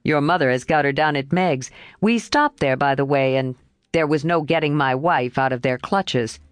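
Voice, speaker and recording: A woman reading aloud and voicing a mischievous, boyishly charming young man, lowering her voice in a playful, sheepish way. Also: moderate pace, clean tone, no echo, lightly acted.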